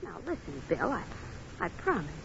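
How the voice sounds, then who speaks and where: Bright caring nurse, old radio drama. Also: female voice, fairly low pitch, huge pitch swings, normal speed, vocal fry, highs cut off, exaggerated voice. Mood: flustered. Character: caring, flustered young nurse soothing her patient. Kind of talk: 1940s radio acting. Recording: noisy, band-limited 1940s radio broadcast.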